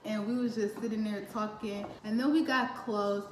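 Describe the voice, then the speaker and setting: A woman's voice: medium pitch, steady tone, moderate pace. Chatty teen girl telling a story. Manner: chatty, laughing storyteller, acts out quotes. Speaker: vlogger. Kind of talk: casual storytime chat. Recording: roomy echo, faint hiss.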